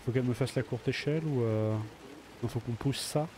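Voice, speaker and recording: A man's voice: mid-range voice, brisk, background noise. A man with a slight cold, chatting casually to himself while playing a video game. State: a bit of a cold. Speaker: let's play gamer. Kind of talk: casual gameplay commentary.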